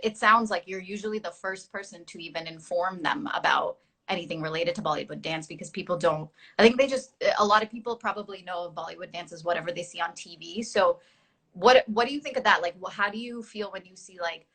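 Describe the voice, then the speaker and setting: A woman's voice: on the low side, fairly quick, fairly bright tone. Young woman dance teacher hosting a live chat, chatty, lots of um and like. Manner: chatty, many fillers, laughs at herself. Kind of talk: casual chat on an online live stream.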